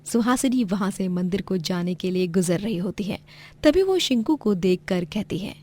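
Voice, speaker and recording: A woman's voice: medium pitch, animated, moderate pace, a little mellow. A woman narrating a scripted moral tale as the storyteller.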